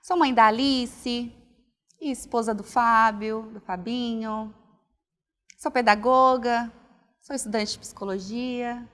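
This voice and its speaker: A woman's voice: fairly high pitch, fairly even intonation, slow pace, fairly bright tone. Reflective woman. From Northeast Brazil, Alagoas. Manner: reflective, frequent "né" and restarts. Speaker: interview guest, pedagogue and psychology student. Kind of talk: casual conversational interview. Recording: long hall reverb.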